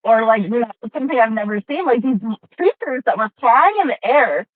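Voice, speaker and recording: A woman's voice: a little high, animated, brisk, no echo. A woman playing a naive, curious mechanical being in a tabletop game, hesitant and full of wonder. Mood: puzzled, wondering. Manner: hesitant, wondering, peppered with questions. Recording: muffled tinny phone-like audio from a car.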